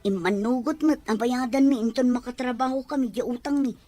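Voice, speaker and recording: A woman's voice: a little high, a little fast, dark tone, faint music behind, lightly acted. A woman playing a young Filipino student daughter in a radio drama, pleading plaintively with an Ilocano accent.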